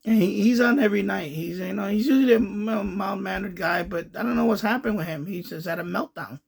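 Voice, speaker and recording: High-pitched, raspy man commenting casually. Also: a little fast. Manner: rambling, repeats himself, explanatory. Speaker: amateur radio video host. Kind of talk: casual running commentary over radio chatter.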